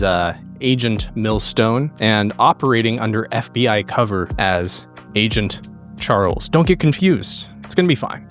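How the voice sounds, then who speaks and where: Low-pitched man, casual game player. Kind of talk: casual podcast introduction.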